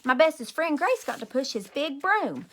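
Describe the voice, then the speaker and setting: Female teacher, storybook read-aloud: high, bright, emphatic delivery. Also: normal speed. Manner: animated, emphatic. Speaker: teacher reading aloud to children. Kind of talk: expressive children's storybook reading.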